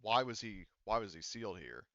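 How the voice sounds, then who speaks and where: Halting male game master, clear but slightly muffled. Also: fairly low pitch, lively intonation, pushed and bright, dry recording. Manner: halting, rambling explanations. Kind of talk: narrating a tabletop roleplaying game.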